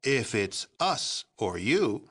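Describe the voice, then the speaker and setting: An actor voicing a fanatical, self-righteous older president in a video game, with an American accent and a pompous, folksy politician's way of speechifying. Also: male voice, mid-range voice, big pitch swings, slow, fairly bright tone, husky, heavily acted. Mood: zealous, resolute. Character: fanatical, self-righteous president of a post-apocalyptic remnant government. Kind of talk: dramatic video game voice acting.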